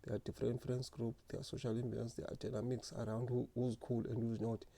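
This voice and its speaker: A man telling his own story in a slow, earnest, reflective way, stuttering often with repeated words and restarts. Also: medium pitch, level tone, vocal fry, warm tone. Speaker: speaker sharing lived experience. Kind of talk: personal reflective monologue.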